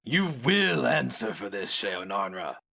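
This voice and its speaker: A man's voice: on the low side, very animated, measured pace, vocal fry, sharp tone, full character voice. A man playing a savage, proud hero mage in a fantasy story, with a theatrical, declamatory delivery. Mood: furious challenge. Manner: theatrical, declamatory, raised well above the narration. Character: savage, indomitable, proud male hero mage, famed and feared.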